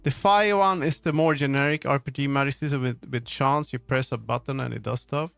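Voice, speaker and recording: A man's voice: medium pitch, fairly quick, vocal fry, fairly bright tone, smooth, dry recording. A man streamer chatting casually, rambling and muttering to himself as he jumps between thoughts. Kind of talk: casual livestream chat.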